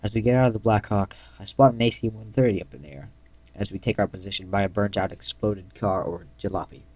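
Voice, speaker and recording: A man giving an amateur dramatic reading of his own story, halting and often stumbling and correcting himself. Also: on the low side, fairly even intonation, darkish tone. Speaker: reader of own fan fiction. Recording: dull lo-fi mic in echoey room.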